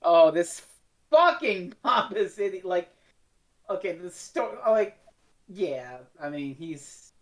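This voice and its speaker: A woman with a fairly low voice co-hosts a casual review show. She talks bluntly and swears freely, in a loose conversational style. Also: lively intonation, slow. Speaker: podcast co-host.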